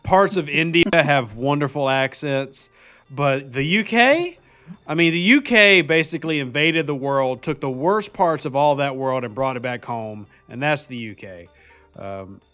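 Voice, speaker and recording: A man's voice: medium pitch, measured pace, a bit bright. A man in casual stream banter, giving blunt, opinionated rants. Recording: faint music behind.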